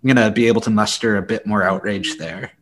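Slightly deep-voiced man in casual discussion. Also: fairly even intonation, fast, fairly bright tone. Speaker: library podcast co-host.

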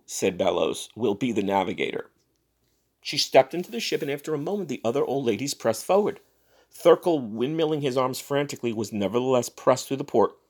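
A man reading a short story aloud in a dramatic, expressive, theatrical narrating style. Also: mid-range voice. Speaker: story reader.

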